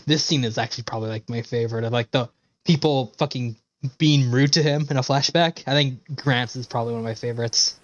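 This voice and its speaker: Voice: mid-range voice, a little fast, no echo. Offhand streamer with a dull lo-fi mic. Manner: sweary, offhand. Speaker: game streamer. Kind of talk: casual reaction commentary.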